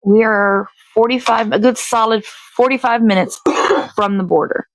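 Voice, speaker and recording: A woman's voice: fairly high pitch, normal speed, dry recording, low-quality recording. A woman hosting a morning show in casual chat, talking in a blunt, sweary, rambling way.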